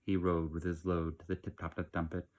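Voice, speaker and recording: A man reading a rhyming storybook aloud like a father, expressive, theatrical and emphatic. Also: low-pitched, fairly quick. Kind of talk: expressive storybook narration in rhyme.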